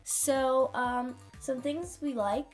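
A woman's voice: high voice, slow, faint music behind. A young female co-host chatting casually and rambling with many fillers. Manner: rambling, filler-heavy, giggly. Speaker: channel co-host. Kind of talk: casual chat.

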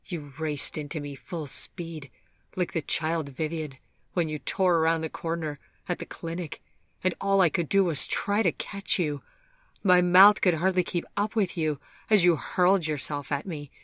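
A woman's voice: deep voice, fairly even intonation, moderate pace, fairly bright tone, no echo, clean studio sound. A woman voicing a remorseful, tormented male monster lover in an audiobook, pleading and intense. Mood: impassioned.

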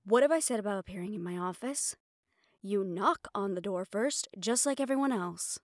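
A woman's voice: mid-range voice, expressive, moderate pace, no room sound, natural voice. Woman acting a stressed, sweary mafia assistant, close and dry. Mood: indignant. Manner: exasperated, clipped, heavy swearing, sighs. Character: stressed, foul-mouthed, fiercely loyal female mafia assistant. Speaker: audio roleplay voice actor. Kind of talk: dramatic audio roleplay, close solo performance.

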